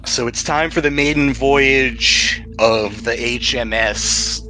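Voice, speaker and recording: A man with a bright, forceful voice acts as game master for a casual tabletop session. He takes his time, jokes informally and swears casually, with music playing behind him. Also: medium pitch, slow pace, rough recording. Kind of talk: narrating a tabletop game among friends.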